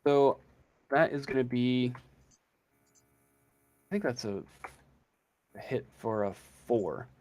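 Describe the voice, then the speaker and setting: A man acts as game master in a casual tabletop session. He speaks slowly at a middling pitch, making up the narration as he goes with a hesitant, halting delivery. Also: a little mellow.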